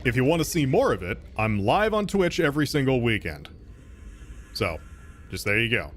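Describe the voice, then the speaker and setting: A man's voice: mid-range voice, big pitch swings, normal speed, slight background noise. A man streaming a game and chatting casually with his viewers, thanking donors, rambling with lots of fillers and restarts, and laughing often. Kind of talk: casual gaming stream chat, reading donations.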